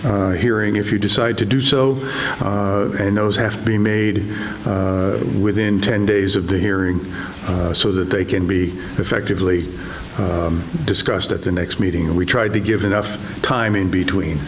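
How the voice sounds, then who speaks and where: A man advising a town board, explaining procedure in a soft, measured way. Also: low voice, fairly even intonation, a little hiss or hum.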